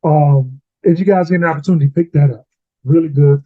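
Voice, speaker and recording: A man's voice: fairly high pitch, fairly quick, no room sound, narrow, tinny audio. A man hosting a Bible read-along in casual, informal short phrases, heard through a thin home stream mic. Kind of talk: casual conversational discussion.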